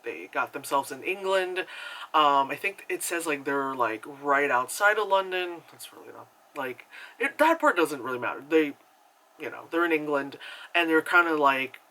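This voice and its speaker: A young man talks casually through a movie review, rambling and filling gaps with likes and you-knows. His voice sits a little high with a bright, forward edge. He breaks into animated acted reactions. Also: normal speed, poor audio. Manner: chatty and animated, many fillers, jumps into high acted reactions.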